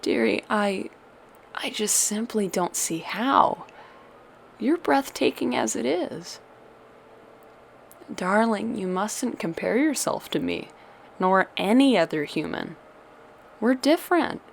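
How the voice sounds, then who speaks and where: A woman's voice: medium pitch, animated, takes it slowly, vocal fry, long pauses, a little hiss or hum. A woman playing a tender, doting witch girlfriend who soothes a monster lover with old-fashioned endearments in an ASMR audio roleplay. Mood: tender concern.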